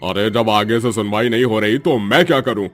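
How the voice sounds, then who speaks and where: A man's voice: lowish voice, fairly quick, faint music behind, lightly acted. A man voicing an irritated, uncaring police inspector in a cartoon story, brusque and threatening.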